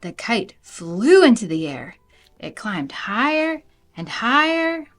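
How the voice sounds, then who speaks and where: A woman reading a children's storybook aloud in an animated narrating style. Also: a little high, slow pace, soft background music. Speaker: storybook reader.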